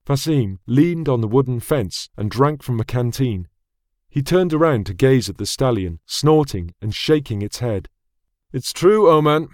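A man narrating a fantasy audiobook in a measured, dramatic storytelling manner on a close studio mic. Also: medium pitch, lively intonation, darkish tone, no room sound.

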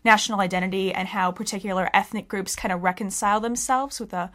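Fast-talking young American woman. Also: medium pitch, steady tone, fairly bright tone. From Missouri, United States. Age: young adult. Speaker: exchange student.

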